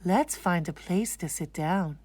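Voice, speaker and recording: A woman playing a patient, gently correcting mother in a children's storybook, explaining and instructing. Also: fairly low pitch, measured pace, no room sound.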